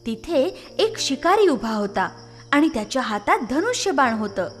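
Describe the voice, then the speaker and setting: A woman tells a children's story in an expressive, scripted narration. Her voice is high and swings widely in pitch. It carries a long reverb, with faint music underneath. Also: moderate pace.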